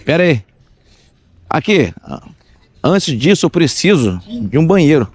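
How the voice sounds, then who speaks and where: Husky-voiced playful travel vlogger man. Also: fairly high pitch, sing-song, unhurried, a little mellow, no echo. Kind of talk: casual travel vlog chat.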